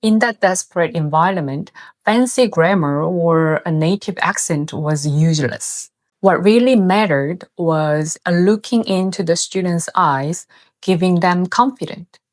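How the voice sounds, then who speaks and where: Korean-accented female host, 30s, lowish pitch, earnest scripted video-essay read. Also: a little slow. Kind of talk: scripted narration.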